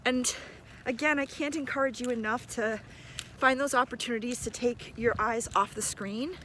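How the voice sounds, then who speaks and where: A woman's voice: fairly high pitch, moderate pace, pushed and bright, low-quality recording. A middle-aged woman speaking as an encouraging teacher in a casual video message to students, recorded outdoors in a park. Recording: outdoor park ambience noise.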